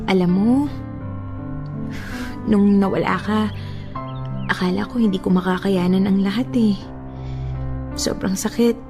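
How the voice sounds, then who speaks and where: A woman's voice: mid-range voice, steady tone, unhurried, pauses often, lightly acted. An actress playing a tender, grieving young woman in a Filipino radio romance drama, speaking emotionally with a Filipino accent over music. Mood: grieving, pleading. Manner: emotional, heartfelt delivery. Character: grieving young woman, tender, torn about loving again. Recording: music bed under the voice.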